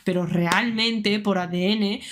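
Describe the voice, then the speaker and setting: A woman's voice: medium pitch, steady tone, takes it slowly, a little hiss or hum. Spanish lady reacting, firm opinions, mid voice, backs it up with her own story. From Catalonia, Spain. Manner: emphatic, opinionated, explains with personal examples. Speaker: reaction video co-host. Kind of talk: casual reaction chat.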